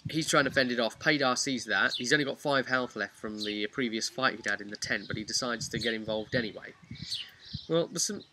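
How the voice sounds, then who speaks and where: A man giving a casual, rambling recap of a tabletop roleplay session as the game master, telling it in an animated way. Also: medium pitch, fairly quick, background noise. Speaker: game master and vlog host.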